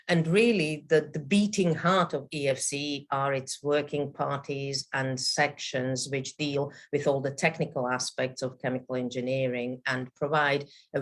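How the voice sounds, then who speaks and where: Low-voiced woman giving a welcome. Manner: fluent, with some restarts and fillers. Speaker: federation executive giving a welcome. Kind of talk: webinar welcome speech. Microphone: video call.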